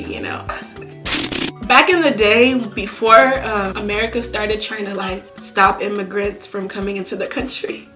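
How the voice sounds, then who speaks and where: A young woman from Nigeria chatting casually to her channel while she does her makeup, sassy and full of slang. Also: medium pitch. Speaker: YouTuber. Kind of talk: casual storytime chat while doing makeup.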